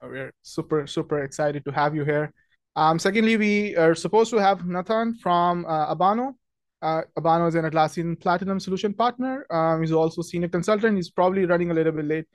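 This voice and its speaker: A man's voice: a little high, brisk, dry recording, clean studio sound. A young man from Pakistan hosting a webinar in a friendly, chatty way, with lots of ums and restarts. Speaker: webinar host, product marketing manager. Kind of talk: casual webinar presentation.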